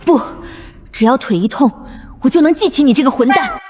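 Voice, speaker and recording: A woman playing a guarded, curt teenage girl survivor in an animated web drama, with a cutting way of talking. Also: high-pitched, a little fast. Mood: irritated, cutting. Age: young adult. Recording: background music under dialogue.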